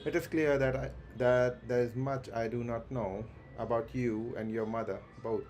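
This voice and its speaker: Man reading aloud over clear background noise. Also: mid-range voice, fairly even intonation, a little mellow. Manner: halting read-aloud with stumbles and self-corrections. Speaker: game livestreamer. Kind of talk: reading game dialogue aloud in a livestream.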